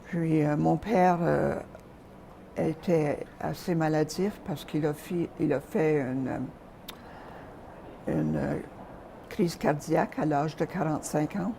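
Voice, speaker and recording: An older woman from Calgary, Canada, recalling her childhood and early teaching years as an interview guest, speaking softly with frequent hesitations and pauses. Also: low-pitched, gravelly, slight background noise. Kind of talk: reminiscing in an interview.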